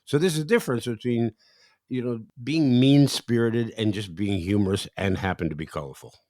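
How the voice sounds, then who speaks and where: A man's voice: mid-range voice, normal speed, darkish tone, no echo, clean studio sound. A man hosting a podcast interview, asking questions with frequent stammered restarts. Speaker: podcast host. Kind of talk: interview conversation.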